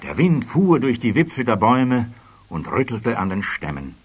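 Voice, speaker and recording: A man playing the narrator of a children's fairy tale, reading scripted storybook prose with expressive, dramatic phrasing. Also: medium pitch, normal speed, over music, lightly acted. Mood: suspenseful.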